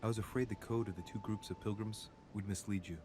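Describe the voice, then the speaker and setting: An actor playing an inquisitive young man investigating a mystery in a video game, musing aloud in a deliberate, theatrical way. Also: on the low side, steady tone, background noise, lightly acted. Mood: solemn reading. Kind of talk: dramatic game narration, thinking aloud.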